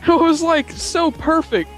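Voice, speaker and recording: Young male gamer, extremely high voice, casual giggly storyteller who rambles and repeats himself, light music underneath. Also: takes it slowly.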